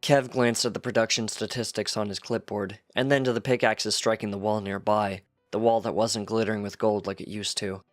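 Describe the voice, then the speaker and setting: Low-voiced man narrating a story. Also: steady tone, brisk, few pauses, no room sound, studio quality, soft background music. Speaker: narrator reading fan fiction.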